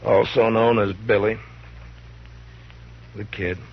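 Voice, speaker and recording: An actor playing a calm, firm frontier marshal in a 1950s radio drama. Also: male voice, on the low side, takes it slowly, gravelly, very noisy. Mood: businesslike. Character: calm, steady frontier marshal, middle-aged man. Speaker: lawman. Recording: dull lo-fi 1950s radio broadcast.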